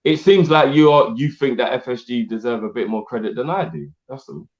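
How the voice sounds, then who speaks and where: A man's voice: mid-range voice, fairly quick. A man co-hosting a casual fan podcast, emphatic and defensive, repeating himself to make his point. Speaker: co-host. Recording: dull lo-fi stream audio.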